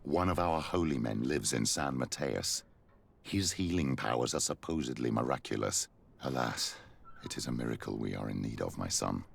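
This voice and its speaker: Very deep, gravelly old clergyman. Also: normal speed, heavily acted. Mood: solemn, devout. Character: solemn old clergyman, fatherly, devout, scheming. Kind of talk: video game cutscene acting.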